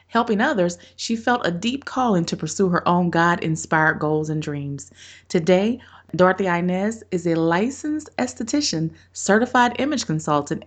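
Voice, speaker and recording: A woman hosting an interview show, bubbly and effusive, laughing often and talking over a remote call. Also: fairly low pitch. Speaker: podcast host. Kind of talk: interview chat.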